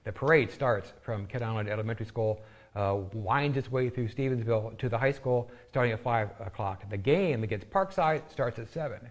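A middle-aged American man appearing as a guest on a local TV show, explaining in an unhurried, halting way with many pauses. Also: mid-range voice. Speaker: school system guest. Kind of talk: casual studio chat.

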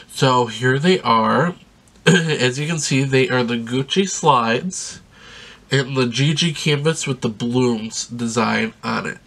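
A sniffly young man with a medium-pitched voice talks enthusiastically through an unboxing, rambling and dropping plenty of ums. He speaks close to the camera in a small bedroom and sounds a little stuffed up from a sinus infection. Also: creaky, a bit bright. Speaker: vlogger. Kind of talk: casual unboxing vlog.

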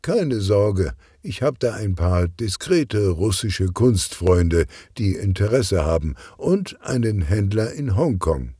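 A man voicing a confident female crime boss, husky and unhurried. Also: lowish voice, sing-song, dark tone, no room sound, studio quality, pitched above the performer's own voice, heavily acted. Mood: smug, amused.

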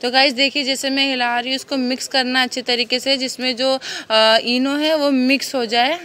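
A woman's voice: sits high, steady tone, normal speed, sharp tone. A young woman explaining a home recipe step by step in a casual, chatty way with many fillers, filmed on a phone in her kitchen. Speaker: cooking vlogger. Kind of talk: casual step-by-step cooking demo. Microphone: phone camera filming hands from above.

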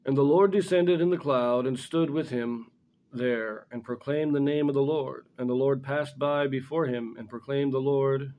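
A middle-aged man giving an informal religious lesson as a scripture commentator, explaining things deliberately and correcting himself as he goes. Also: medium pitch, steady tone, dull audio. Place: small indoor room in front of a blackboard.

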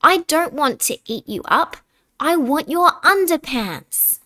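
A woman voicing a huge, scary, greedy male dinosaur in a storybook, loud and demanding. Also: high voice, animated, slow pace, pitched above the performer's own voice, exaggerated voice.

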